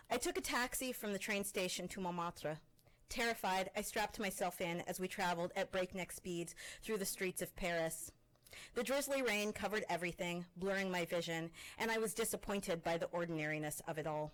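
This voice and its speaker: Low-voiced woman reading an essay. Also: rough recording. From Canada. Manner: measured, expressive literary reading with pointed pauses. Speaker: faculty presenter. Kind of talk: reading a personal essay aloud.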